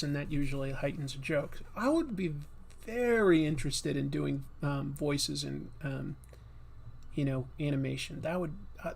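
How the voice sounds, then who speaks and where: Chatty man with a gravelly edge. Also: fairly high pitch. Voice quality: slightly nasal. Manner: rambling, chatty, with many fillers and restarts. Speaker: livestream host and comic artist. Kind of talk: casual livestream chat.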